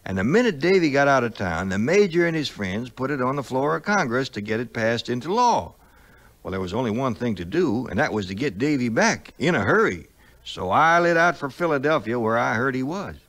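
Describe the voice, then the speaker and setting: An actor playing a loyal, folksy frontier sidekick with a rustic Southern American drawl in a 1950s audio play. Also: male voice, mid-range voice, big pitch swings, brisk, a bit bright. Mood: folksy storytelling. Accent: American backwoods Southern.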